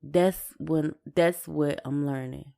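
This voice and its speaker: A woman's voice: sits low, steady tone, slow pace, creaky, mellow. A woman co-hosting a podcast in a casual personal chat, hesitant and often repeating and rephrasing her words. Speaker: podcast co-host.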